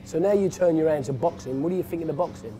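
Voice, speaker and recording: Fast-talking interviewer. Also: male voice, fairly high pitch, over music, poor audio. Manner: chatty, agreeable, keeps saying yeah. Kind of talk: casual interview. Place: boxing gym, indoors.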